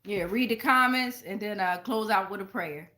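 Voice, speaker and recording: A woman's voice: medium pitch, normal speed, bright, forceful tone. An American woman giving a casual live tarot reading in a slangy, profane, rambling way. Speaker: tarot reader, channel host.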